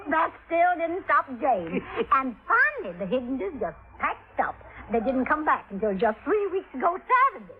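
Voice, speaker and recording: A narrow, crackle-era radio recording holds a chatty American country woman. Her high voice swoops expressively as she spins folksy, good-humored gossip in fifties radio drama fashion. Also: very animated, normal speed. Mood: amused gossiping. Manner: folksy, storytelling. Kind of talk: 1950s radio drama acting. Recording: phone-like vintage radio recording.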